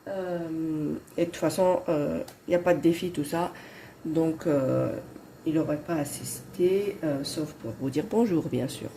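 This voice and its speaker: A Belgian woman in her thirties talks slowly with a head cold, her voice low and mellow. She hesitates often and fills pauses with "euh" and restarts. A faint hum sits behind her. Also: creaky. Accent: Belgian French. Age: 30s. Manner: hesitant, many fillers and restarts. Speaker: budgeting vlogger. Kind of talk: casual chat to viewers.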